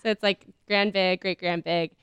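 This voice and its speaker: A woman's voice: on the low side, fairly even intonation, normal speed, fairly bright tone, clean tone, dry recording, muffled recording. An American woman co-hosting a podcast, explaining things in a friendly, casual way with easy laughs. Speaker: podcast co-host.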